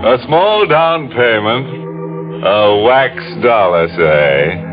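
Creepy sardonic old radio horror host, super slow, music behind him. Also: male voice, lowish voice, lively intonation. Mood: macabre glee. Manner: sardonic, drawn-out, punning. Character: gleefully macabre, sardonic horror show host. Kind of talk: 1940s radio horror hosting. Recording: muffled old radio recording. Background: prominent music.

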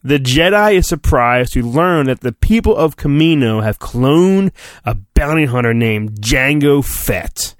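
A man giving a mock-dramatic storybook reading, theatrical in delivery, dropping into goofy, deadpan, sarcastic asides. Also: medium pitch, measured pace, dry recording, clean studio sound. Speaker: podcast host.

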